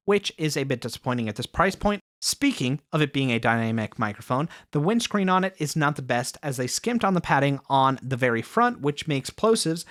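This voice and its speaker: Male tech reviewer, animated intonation, chatty and self-mocking, off-axis studio dynamic mic. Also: medium pitch, fairly quick, few pauses. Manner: chatty, self-deprecating asides. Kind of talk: casual product review. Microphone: studio dynamic mic, spoken off axis.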